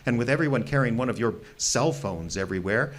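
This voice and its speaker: An actor playing a fanatical, earnest fringe researcher who lives wild in the woods, stammering and pleading in an audio drama. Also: male voice, mid-range voice, expressive, fairly quick, natural voice. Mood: earnest, insistent.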